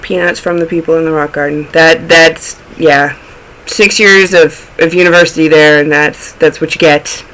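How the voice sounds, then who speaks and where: Snuffly, bright-voiced man rambling casually. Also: on the high side, fairly even intonation, normal speed, a little hiss or hum, low-quality recording. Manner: rambling, goes off on tangents. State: snuffly from a cold. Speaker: knitting video podcast host. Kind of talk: casual chatty show-and-tell.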